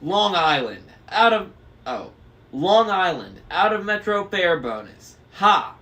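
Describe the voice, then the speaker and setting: Blind old hag at the wheel, shrill and bickering, a man voicing her, high screechy pitch, distant mic. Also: very animated, takes it slowly, sharp tone, exaggerated voice. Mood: cackling.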